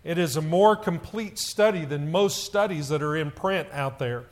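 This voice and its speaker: Loud, halting church elder. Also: male voice, a little high. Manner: loud voice, halting with many fillers. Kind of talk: church announcement to a congregation.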